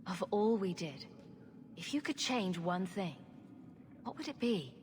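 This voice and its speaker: A woman's voice: mid-range voice, sing-song, unhurried, darkish tone, long reverb tail, a little hiss or hum. An actress playing a wry, sarcastic young female rogue champion in a video game cutscene. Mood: sincere. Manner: wry, teasing.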